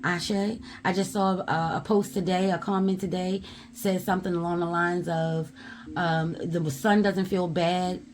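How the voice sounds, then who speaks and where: Loud, blunt low-voiced woman. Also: little pitch movement, measured pace, a little hiss or hum. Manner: emphatic, repeats "ashe" as affirmation; forceful, blunt, swears. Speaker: tarot reader. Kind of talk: spiritual message to viewers.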